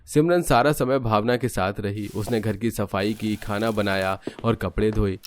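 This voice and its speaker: A man's voice: on the low side, fairly even intonation, moderate pace. Male cartoon-story narrator over music.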